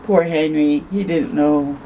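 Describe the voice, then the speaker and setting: Slow, mellow woman reading a storybook. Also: sits low, steady tone, slight background noise, rough recording. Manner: conversational asides, tags questions with "eh". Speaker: storytime host reading aloud. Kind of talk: expressive children's picture-book read-aloud with asides.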